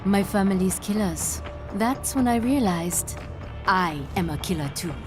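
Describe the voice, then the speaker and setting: Actress as female mercenary villain, unhurried, seductive and taunting, video game cutscene, music behind. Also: medium pitch, animated, close to an everyday voice. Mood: proud, reflective. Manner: theatrical, languid, taunting. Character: seductive, menacing female mercenary killer, half French, coolly taunting.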